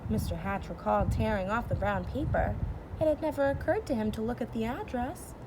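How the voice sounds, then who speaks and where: A woman teacher reading a children's storybook aloud, expressively, and pausing to explain it simply. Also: on the high side. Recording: clear background noise.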